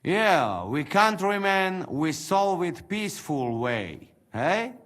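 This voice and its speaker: Game mercenary, bright lively voice, talks in broken english, sweet-talking. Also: male voice, high voice, takes it slowly, full character voice. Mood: coaxing. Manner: broken English, coaxing and persuasive. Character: brash male mercenary from the north, broken English. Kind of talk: video game cutscene acting.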